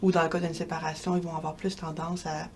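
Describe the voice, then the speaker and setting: Québécois woman researcher, calm and articulate. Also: low-pitched, fast, slight background noise. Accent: Québécois French. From Quebec. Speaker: researcher interviewed as guest. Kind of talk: explanatory interview answers.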